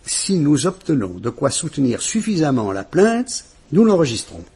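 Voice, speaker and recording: An actor playing a calm, kindly police commissioner in a vintage radio drama, speaking in a measured, courteous and reassuring way. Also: male voice, on the high side, creaky, husky, heavily acted. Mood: grave, reassuring.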